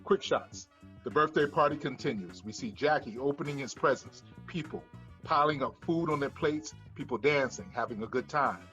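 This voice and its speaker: A man's voice: medium pitch, lively intonation, normal speed, fairly bright tone, muffled recording, soft background music. A man narrating the stage directions of a screenplay at a table read.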